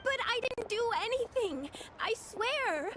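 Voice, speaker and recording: An actress playing a frightened, bewildered young woman in a video game, halting and pleading. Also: extremely high pitch, sing-song, music in the background, exaggerated voice.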